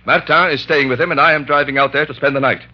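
Man playing a worried earnest doctor in an old radio show, raspy voice, formal, muffled sound. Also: fairly high pitch, fairly even intonation, fast, natural voice. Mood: grave, explaining. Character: earnest threatened male doctor, former prisoner. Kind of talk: 1940s radio drama acting. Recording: dull old radio recording.

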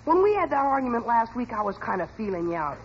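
A man's voice: very high-pitched, very animated, quick pace. A young man playing an eager, cocky teenage son in a 1940s radio sitcom. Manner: eager, earnest, boyish. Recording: dull, hissy old radio recording.

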